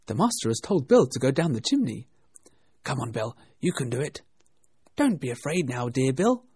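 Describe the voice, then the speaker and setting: Storybook animal bystander done by a narrator: excitable, urgent, fussing, lively swoops, slightly raspy. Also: medium pitch, normal speed, dry recording. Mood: alarmed. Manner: urgent, clamouring.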